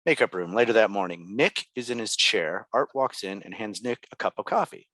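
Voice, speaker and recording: Low-voiced man reading stage directions. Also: expressive, brisk, a bit bright, no room sound. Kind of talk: scripted narration at a table read.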